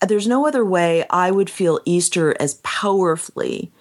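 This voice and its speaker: A woman's voice: fairly low pitch, animated, moderate pace. A woman co-hosting a podcast, speaking earnestly and reflectively in casual chat, often laughing. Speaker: podcast co-host.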